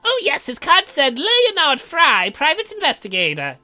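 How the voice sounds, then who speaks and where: An eccentric uncle and potion brewer as a woman plays him, bright and high in voice. He is flippant and teasing, swinging up and down in pitch through a visual-novel scene, with faint music behind. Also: huge pitch swings, normal speed, full character voice. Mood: cheeky, animated. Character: eccentric, cheeky uncle who brews potions.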